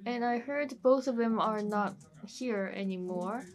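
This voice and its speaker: A woman's voice: on the high side, a little slow. A woman panelist giving closing remarks in simple English with small grammar slips, recorded on a conference stage. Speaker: ambassador panelist.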